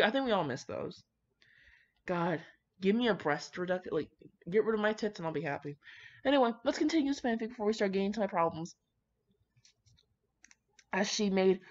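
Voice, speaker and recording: A young woman reading fan fiction aloud in an informal, slangy way, stumbling over words here and there. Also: sits low. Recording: dull, muffled lo-fi home recording.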